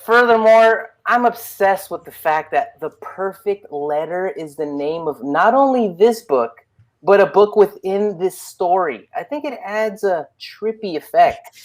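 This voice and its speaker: A woman's voice: mid-range voice, animated, measured pace. A woman on a comedy show, reading a review aloud and chatting casually.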